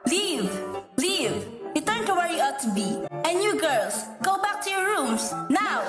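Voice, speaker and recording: Radio drama matron, female actor: shrill, bossy, commanding, echoing room with music behind. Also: very animated, unhurried, exaggerated voice. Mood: angry, commanding. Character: bossy, scolding matron ordering young people out. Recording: roomy echo, music behind.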